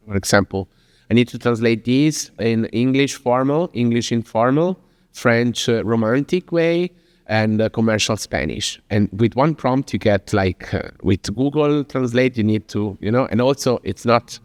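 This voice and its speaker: A man's voice: mid-range voice, moderate pace, clear, resonant tone, dry recording, studio quality, in a large hall. A man from Italy with an Italian accent, giving a chatty, informal presentation in English with many fillers and restarts. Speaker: speaker at a business talk. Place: venue in Lisbon.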